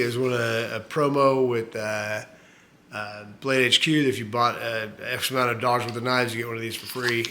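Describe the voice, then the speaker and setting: A man's voice: medium pitch, bright, forceful tone. A middle-aged man hosting a casual knife review channel, rambling and self-mocking, full of fillers and jokes.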